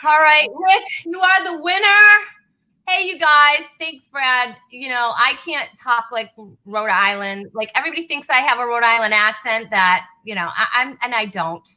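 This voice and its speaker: A woman's voice: fairly high pitch, moderate pace, bright, forceful tone, low-quality recording. A woman from Massachusetts hosting a casual online comedy chat, chatty and trailing off into fillers. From New Bedford, Massachusetts. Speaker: host. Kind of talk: casual video-call chat.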